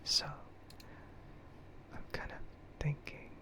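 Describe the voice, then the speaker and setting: ASMR livestream host: breathy whisper, unhurried, hesitant and self-deprecating, casual rambling chat.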